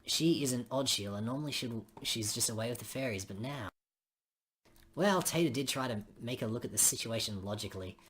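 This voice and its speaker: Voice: mid-range voice, fairly quick, fairly bright tone, slightly rough. A woman voicing a chatty, blokey young friend in a visual novel, teasing and full of Australian slang. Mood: chatty, puzzled.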